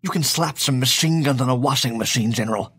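A man playing a cocky, needling scientist in an audio drama, sardonic, with a husky voice. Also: medium pitch, fast, fairly bright tone. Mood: mocking. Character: cocky, mocking male scientist angling for power.

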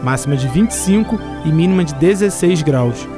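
A man in his thirties reading the news as anchor in a studio, with a steady, formal delivery. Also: on the high side, normal speed, music in the background. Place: TV studio desk.

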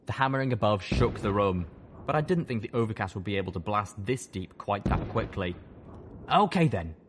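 A man's voice: on the low side, brisk, noisy background. A man reading first-person fiction as a dramatic audiobook narrator.

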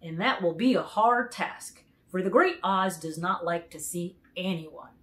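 A woman voicing an emphatic, awed countryman who knows of a great wizard, in a deliberate storybook read-aloud. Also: fairly low pitch, lively intonation, a bit bright. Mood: confident, explaining.

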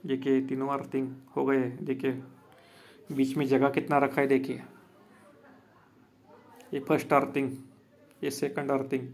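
Installer showing off his work: a man, even-toned, rough and gravelly, outdoors with a phone mic. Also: mid-range voice, a little slow, long pauses. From Maharashtra, India. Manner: plain, repetitive, addresses viewers as friends. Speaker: solar installer and business owner. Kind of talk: walkthrough video commentary. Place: outdoor rooftop.

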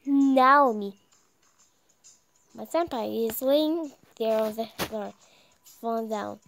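A young woman reading a visual novel aloud, halting and stumbling over words as she narrates dramatically. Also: on the high side, creaky, fairly bright tone, no echo. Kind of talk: dramatic read-aloud of game text. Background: music.

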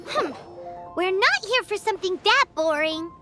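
A woman voicing a tiny, excitable, childlike fairy companion in a video game, squeaky, bubbly and boastful. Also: normal speed. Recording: game music behind the voice.

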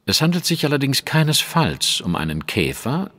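An actor playing a shrewd, self-assured gentleman detective in an audio drama, speaking in a precise, formal, lecturing manner. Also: mid-range voice, big pitch swings, normal speed, heavily acted. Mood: coolly insistent.

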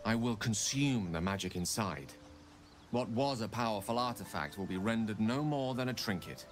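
An actor voicing a proud, rueful human wizard prodigy in a video game, speaking in eloquent, measured, theatrical phrases. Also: male voice, on the low side, animated, faint music behind, close to an everyday voice. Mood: grave, urgent. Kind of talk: dramatic video game dialogue.

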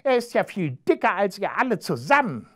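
A storyteller voicing a spiteful, scheming female cat in a children's storybook, with a sneering, drawn-out delivery. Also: medium pitch, very animated, pitched above the performer's own voice. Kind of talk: storybook character voice.

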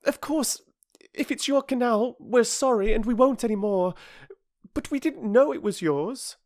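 Earnest polite young girl, put on by a male narrator, much higher than his own voice, breathless pleading, dry close sound. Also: very animated, normal speed, dry recording.

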